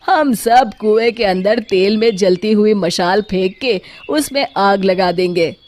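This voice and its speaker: A woman's voice: mid-range voice, a little slow, darkish tone, close to an everyday voice. Earnest, clever young villager voiced by a woman. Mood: earnest, plotting. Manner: earnest, explanatory. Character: clever, decisive young village man hatching plans. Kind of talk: children's cartoon storytelling.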